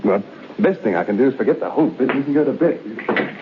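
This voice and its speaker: A man's voice: mid-range voice, expressive, fast talker, close to an everyday voice. An actor playing a skeptical man alone in a haunted room in a radio horror drama, muttering to himself without hurry. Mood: puzzled, uneasy. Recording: muffled, noisy old radio recording.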